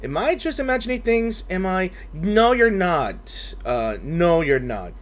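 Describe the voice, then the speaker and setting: A man giving casual gaming commentary, musing to viewers in a halting, conversational way. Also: high-pitched, sing-song. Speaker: gaming streamer.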